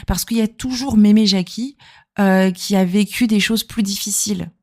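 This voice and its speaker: A woman's voice: mid-range voice, moderate pace, darkish tone. A woman from Normandy, talking informally as a podcast host and therapist, explaining things conversationally and addressing the listener directly. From Normandy, France. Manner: conversational, explanatory, punctuated with rhetorical questions.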